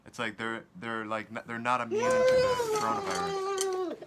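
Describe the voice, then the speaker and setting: A man's voice: extremely high pitch, wildly swooping pitch, normal speed, exaggerated voice. A young man playing a paranoid, sardonic student with a casual manner in a mockumentary. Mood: smug, paranoid. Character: paranoid, sardonic student conspiracy theorist in sunglasses. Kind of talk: acted mockumentary. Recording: faint background noise.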